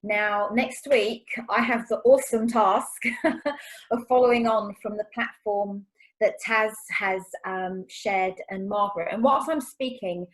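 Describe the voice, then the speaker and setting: A woman's voice: mid-range voice, unhurried, rough recording. A woman hosting an online session, graciously thanking the speakers before she takes over. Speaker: host.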